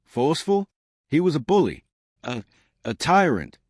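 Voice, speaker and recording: A man performs a jittery male bank manager from a mystery audiobook. He stammers, dodges and bristles defensively, his pitch moving about a lot in a close, dry sound. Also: very animated, unhurried, a little mellow, no room sound. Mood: indignant, defensive. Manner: stammering, vehement. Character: nervous, evasive bank manager in spectacles, defensive and brittle.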